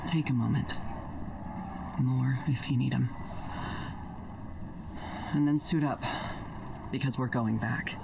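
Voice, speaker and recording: Slow-talking resolute male military commander. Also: mid-range voice, fairly even intonation, gravelly, far from the mic, background noise. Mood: grave, determined. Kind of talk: dramatic game dialogue.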